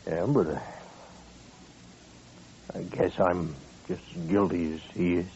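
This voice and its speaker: An actor playing a stubborn but guilt-ridden American frontier townsman, plain-spoken and halting, in 1950s radio western style. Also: low voice, fairly even intonation, darkish tone. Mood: shaken. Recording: muffled, noisy old-time radio recording.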